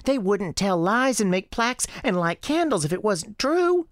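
A woman voicing a young girl with a high girlish voice and a folksy, childlike storytelling manner in an audio drama. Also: sing-song, normal speed, no room sound, clean studio sound, close to an everyday voice. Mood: insistent. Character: young Southern girl Sarah, earnest, defiant, chatty. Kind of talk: acted audio drama dialogue.

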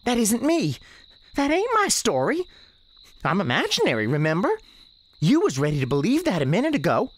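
A performer voicing an angry, defiant young girl with a Southern US accent and sharp, folksy speech, in an audio drama. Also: medium pitch, huge pitch swings, moderate pace, voice pushed up.

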